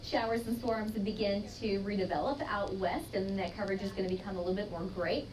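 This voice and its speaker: A quick-paced American woman delivers a live TV weather forecast in an average-pitched voice. She sounds a little far from the mic, with clear noise behind her. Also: fairly even intonation. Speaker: TV weather presenter. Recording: clearly noisy, distant broadcast control-room feed.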